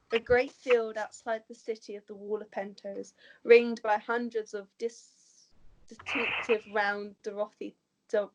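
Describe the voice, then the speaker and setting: Medium-pitched woman narrating a script. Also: muffled recording. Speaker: narrator reading stage directions. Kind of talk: scripted narration at an amateur table read.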